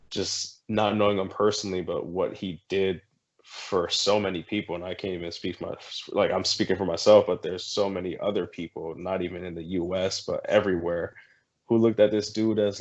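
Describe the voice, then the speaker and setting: A man from Haiti in casual podcast talk, speaking haltingly and emotionally. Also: sits low, highs cut off. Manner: emotional, halting, searching for words. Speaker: podcast guest. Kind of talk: casual chat.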